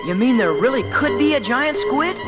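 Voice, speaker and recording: An eager young boy adventurer, speaks very high over background music. He is wide-eyed and excitable, with lively swings in pitch, in the style of a vintage adventure cartoon. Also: moderate pace. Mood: anxious, curious. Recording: music playing behind the voice.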